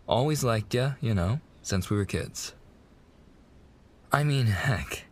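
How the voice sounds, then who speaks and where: A young man playing a smitten, protective mafia fiancé in a boyfriend ASMR roleplay, with slangy, hesitant, reassuring wiseguy talk. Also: mid-range voice.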